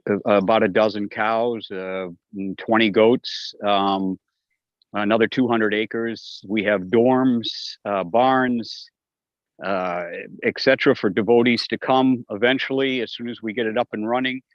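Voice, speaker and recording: A man calling in to a scripture class, talking in a halting way with lots of "uh". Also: lowish voice, fairly even intonation, no echo. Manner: halting, many "uh" fillers. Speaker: remote caller. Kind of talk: casual call-in remarks. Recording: dull lo-fi call audio, dry.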